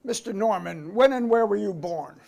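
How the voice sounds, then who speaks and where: A man's voice: high voice, expressive, moderate pace, creaky, darkish tone. A man playing a formal, insistent committee counsel who questions a witness courteously in a dramatic reading. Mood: formal, probing. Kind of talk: dramatic reading of a hearing transcript.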